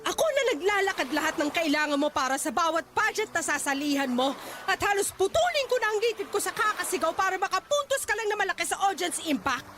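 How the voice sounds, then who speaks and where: A woman's voice: very high-pitched, lively intonation, fast talker, music in the background. A woman acting a pushy, pageant-obsessed mother with a Filipino accent, scolding and commanding in a radio drama. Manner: scolding, commanding, sharp-tongued. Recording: faint background noise.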